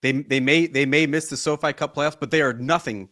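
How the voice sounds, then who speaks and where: A man's voice: on the high side, steady tone, fairly quick, a bit bright, no echo, clean studio sound. A man co-hosting a golf podcast, chatting casually with wry, joking asides. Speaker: podcast host. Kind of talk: casual chat.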